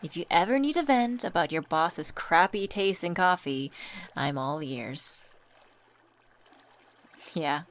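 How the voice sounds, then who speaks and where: A woman voice actor playing a supportive, gently teasing young best friend in a scripted ASMR roleplay, talking casually and intimately. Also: on the low side, expressive, slow pace, pauses often. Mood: affectionate.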